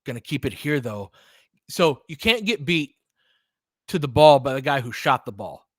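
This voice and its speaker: A man's voice: medium pitch, very animated, normal speed, dry recording. Clipped, partisan male basketball play-by-play caller, dry sound. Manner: clipped, fragmentary play calls; openly partisan, cheering for his team. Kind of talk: live fan-side play-by-play commentary.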